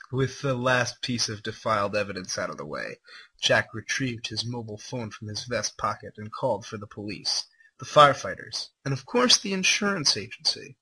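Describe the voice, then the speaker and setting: A man with a bright, forceful voice and a slightly rough, husky edge reads aloud on a livestream. He treats the text theatrically and with open mockery, as an amateur dramatic reader and commentator would. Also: lowish voice, big pitch swings, moderate pace, low-quality recording. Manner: incredulous asides, breaks into laughter. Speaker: livestream host reading a fan story aloud. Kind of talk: live dramatic reading with mocking commentary.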